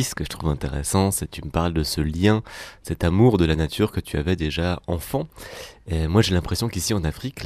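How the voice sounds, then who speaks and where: A man hosting an interview, musing at length and pausing as he builds reflective questions. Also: deep voice, a little mellow, dry recording. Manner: musing, long-winded questions with pauses. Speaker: interviewer and host.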